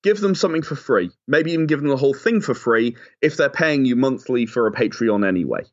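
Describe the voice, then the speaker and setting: A man's voice: medium pitch, lively intonation, fairly quick, a little mellow. A man speaking as an interview guest, giving advice and explaining in an earnest, emphatic way. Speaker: interview guest, audio drama and game creator. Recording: slightly dull, lo-fi audio.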